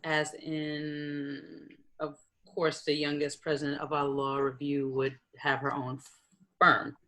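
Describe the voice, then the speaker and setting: A woman's voice: low voice, completely flat delivery, slow, a bit bright. A woman reading a stressed, guarded young attorney in a comedy script table read, dry and brusque. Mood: surprised.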